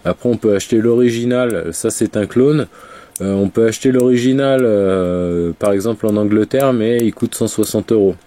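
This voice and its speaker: A man's voice: fairly low pitch, normal speed, warm tone, no echo. A man with a head cold, chatting casually through a product review with lots of fillers and restarts. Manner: rambling, many fillers and restarts. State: has a cold, congested. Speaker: vape reviewer.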